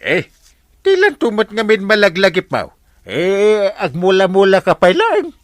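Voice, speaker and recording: A man's voice: high voice, huge pitch swings, measured pace, no echo, studio quality, full character voice. A man acting a bumbling, evasive village captain in a comic radio drama, stammering and exclaiming with an Ilocano Filipino accent. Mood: evasive, sleepy. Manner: stammering, flustered, repeated exclamations.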